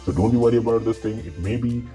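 A man with a pitched-down monster voice filter, walking through game codes and spelling each one letter by letter. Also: fast, dark tone. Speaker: gaming channel host. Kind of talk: mobile game code tutorial. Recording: voice-over with faint guitar music.

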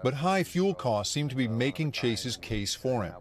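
A middle-aged man reporting a news feature in measured scripted narration. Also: mid-range voice, expressive, crowd noise. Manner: measured, then chatty and laughing in studio. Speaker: news correspondent. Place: TV news studio for the chat.

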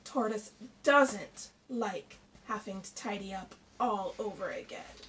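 A woman's voice: medium pitch, takes it slowly. A middle-aged woman reading a picture book aloud expressively to children, like a primary teacher. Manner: expressive, addressing children with questions. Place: small indoor room with shelves. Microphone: camera a short distance away. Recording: distant mic, faint background noise.

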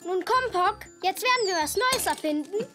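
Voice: extremely high pitch, animated, moderate pace, music in the background. A performer voicing an eager, proud young zebra boy inventor in a children's cartoon. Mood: proud, excited. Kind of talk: dubbed children's cartoon acting.

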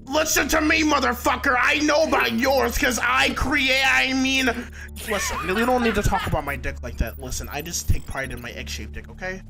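A man voicing an unhinged, petty mad scientist villain in an improvised comedic game dub, bombastic, ranting and repetitive, with a gravelly, strained shout. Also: very high voice, normal speed. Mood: mortified, blustering. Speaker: fandub voice actor. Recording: loud music under screen-recorded voice.

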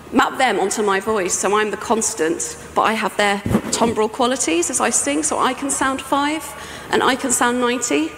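A middle-aged woman gives an informal talk in a conversational, explanatory way. Her voice sits fairly high, and it rings in an echoey hall with faint noise behind it. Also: a bit bright. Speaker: sound artist presenting a project. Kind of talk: conference talk, informal presentation. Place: indoor talk venue with projection screen, on stage.

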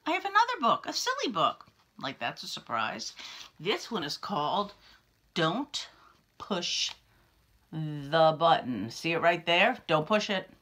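A woman's voice: lowish voice, wildly swooping pitch, a little slow, a bit bright. A middle-aged woman reading a picture book aloud to young children, theatrical and full of questions to them. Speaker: nursery school teacher reading aloud. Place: small room at home or office, indoors.

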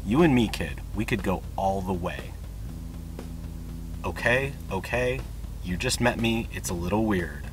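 Eager garage mechanic, middle-aged, chummy and earnest, fairly low pitch, comic game. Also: male voice, animated, a little slow, pauses often, background noise. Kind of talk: comic game cutscene acting.

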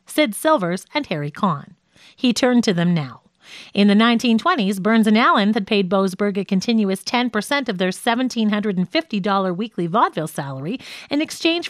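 Lively, high-voiced woman audiobook narrator. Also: dry recording, clean studio sound.

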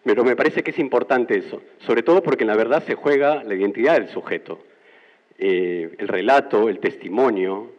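A man's voice: fairly low pitch, moderate pace. A man from Peru with a Peruvian accent, standing in the audience and asking a long, articulate, academic question. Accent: Peruvian Spanish. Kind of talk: formal question from the floor. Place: university classroom. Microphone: handheld mic, standing. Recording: muffled, roomy lecture hall.

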